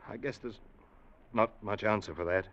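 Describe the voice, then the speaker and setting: An actor playing a young ship's officer who narrates his tale in a measured, grave way, in 1940s radio drama style. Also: lowish voice, a bit bright, natural voice. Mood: consoling. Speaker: narrator and first mate Ned. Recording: dull old radio recording with music.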